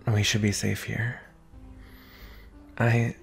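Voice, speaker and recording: A young man playing an anxious, protective vampire boyfriend in an intimate roleplay audio drama, speaking in an earnest, halting way with sighs. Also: fairly low pitch, flat delivery, creaky, faint music behind. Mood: apologetic, nervous. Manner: halting, sighing, earnest delivery.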